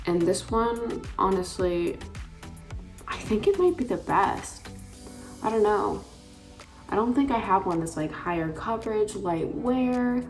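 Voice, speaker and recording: A young woman with a raspy voice, chatting casually through a makeup tutorial with lots of filler words. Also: mid-range voice. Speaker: beauty vlogger.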